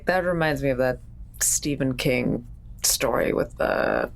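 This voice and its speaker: A woman's voice: extremely low pitch, animated, measured pace, vocal fry. A woman playing in a tabletop roleplaying game, chatting and improvising in character with dry, wry humour. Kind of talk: casual in-character improvised chat.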